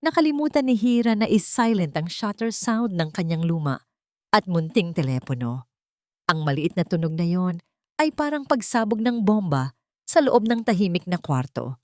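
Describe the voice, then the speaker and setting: A woman with a slightly low voice reads dramatic scripted narration. The sound is dry and close, with no room to it. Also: expressive, normal speed, a little mellow, dry recording.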